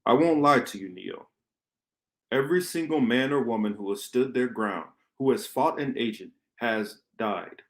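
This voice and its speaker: A man acting a grave, commanding rebel mentor in an amateur radio play, with deliberate, emphatic phrasing and dramatic pauses. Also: medium pitch, animated, close to an everyday voice. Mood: solemn, resolute. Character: grave, commanding male rebel mentor lecturing his pupil. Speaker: podcast host reading a radio play.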